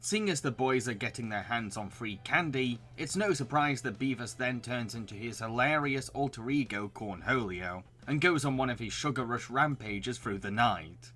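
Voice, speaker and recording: A man's voice: mid-range voice, expressive, moderate pace, few pauses, a bit bright. A man reading scripted narration as a video reviewer.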